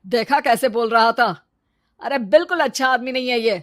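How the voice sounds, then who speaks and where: A woman's voice: a little high, normal speed, no room sound, clean studio sound, close to an everyday voice. A woman voicing an arrogant, fickle husband in a cartoon story, brash and selfish. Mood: scornful. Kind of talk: Hindi cartoon story dialogue.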